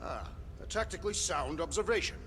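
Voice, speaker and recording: An actor playing an earnest, literal-minded alien warrior with formal, stilted diction in a video game. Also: male voice, sits high, expressive, fairly quick, bright, forceful tone, long reverb tail, a little hiss or hum. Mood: keen, intent.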